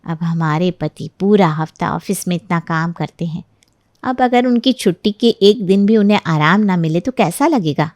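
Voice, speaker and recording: A woman's voice: on the low side, expressive, moderate pace, darkish tone, no echo, clean studio sound, natural voice. A woman playing a jealous young married neighbour, falsely sweet and sly, in a dramatized moral story. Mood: sly, insinuating. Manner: sly, insinuating, mock-hesitant.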